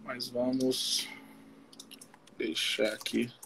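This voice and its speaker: A man with a husky voice, speaking informally as a missionary pastor in a halting way, often checking in with his listener. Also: medium pitch, little pitch movement, noisy background. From Belo Horizonte, Brazil. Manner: halting, frequent tag questions to the listener. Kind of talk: informal testimony and Bible teaching. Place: live social media video call.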